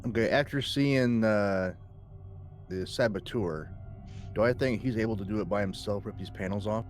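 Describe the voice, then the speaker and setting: A man chats casually as a player in a tabletop roleplaying game. His voice sits on the low side, and he feels his way through each move aloud, with faint music underneath. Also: normal speed.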